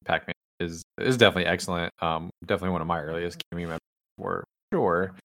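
A man hosting a casual gaming podcast, relaxed and rambling, with some teasing jokes. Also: sits low, fast, dry recording. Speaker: podcast host. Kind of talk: casual chat.

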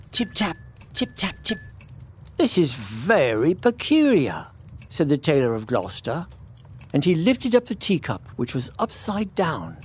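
A man narrating a scripted children's story as an expressive storybook reader. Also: a little high, slight background noise.

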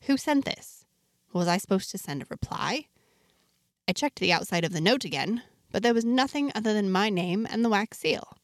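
A woman playing an earnest, wonder-struck outsider in a space fantasy, narrating her diary expressively with deliberate, dramatic pauses. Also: mid-range voice, expressive, no echo, clean studio sound, lightly acted. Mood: anxious curiosity. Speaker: narrator of a fiction podcast, in character. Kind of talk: scripted first-person storytelling, audio diary.

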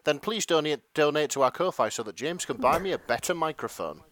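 A man's voice: a little high, lively intonation, fairly quick, a bit bright. A man co-hosting a casual comic podcast. He teases playfully and breaks into goofy outbursts. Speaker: podcast co-host.

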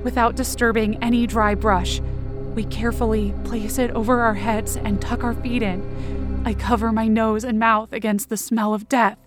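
A high, girlish voice acted by a woman tells a horror audio drama in the first person, frightened and breathy. The delivery is tense and emotional, with music clearly playing behind it. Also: little pitch movement, moderate pace, lightly acted. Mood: tense, hushed.

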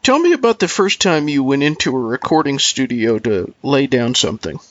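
A man's voice: medium pitch, big pitch swings, brisk, a bit bright, dry recording, dull audio. A man hosting an interview show, presenting his guest and putting questions to him. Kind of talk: scripted introduction and friendly interview questions.

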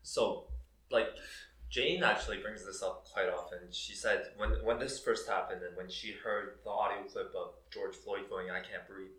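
A man hosting a casual podcast, relaxed as he moves the talk along. His voice sits a little low. The room has a roomy echo. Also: normal speed. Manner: relaxed, steering the talk, laughs easily. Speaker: podcast co-host. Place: coworking room.

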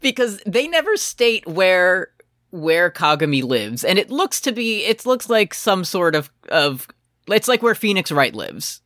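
Guy podcast cohost, highish voice thats really animated, jokey sarcastic recap, does lil quote bits. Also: a little slow, no echo, studio quality. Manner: joking, sarcastic, acts out quotes. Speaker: podcast co-host. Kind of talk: casual comedic recap chat.

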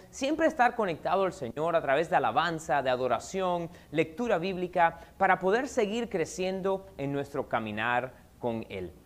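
A pastor speaking calmly to a congregation, sharing the church's vision and introducing the next speaker. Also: mid-range voice. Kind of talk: church announcements.

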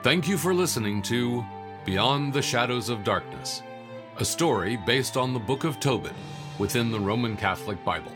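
A man's voice: medium pitch, animated, moderate pace, music in the background. A man reading scripted closing credits as the announcer of an audio drama. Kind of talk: scripted narration.

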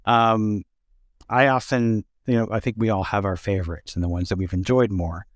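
A man's voice: on the low side, fairly even intonation, moderate pace, dry recording. A man interviewing in a casual conversational way, thoughtful and a bit hesitant as he forms his points. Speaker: interviewer and fellow theatre director.

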